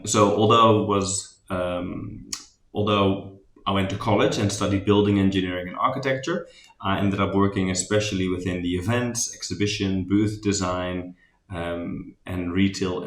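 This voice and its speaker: A man from the Netherlands with a Dutch accent, giving a relaxed, rambling recap of a design workshop he presented, with many ums and restarts. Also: low-pitched, normal speed, echoey room.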